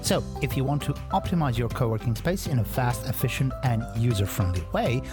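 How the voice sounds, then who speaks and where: An announcer reading a scripted sponsor message with a smooth, promotional delivery, at a middle pitch. Music plays clearly underneath the whole read. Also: sing-song, fairly quick.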